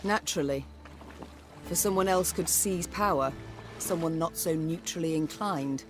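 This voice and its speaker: A woman's voice: deep voice, normal speed, close to an everyday voice. Commanding warrior queen, played by an actress, music behind. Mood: dry, commanding. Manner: formal, commanding, clipped. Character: proud warrior queen, commanding, stern and blunt. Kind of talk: video game voice acting.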